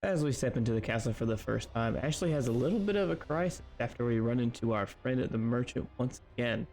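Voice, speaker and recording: A man's voice: medium pitch, expressive, fast talker, darkish tone, soft background music. A man introducing his gaming video in a casual, conversational style.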